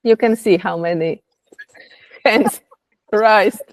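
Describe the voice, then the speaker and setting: Gravelly-voiced woman leading a group call. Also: medium pitch, slow, no room sound, rough recording. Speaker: coaching session facilitator. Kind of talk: group video call moderation.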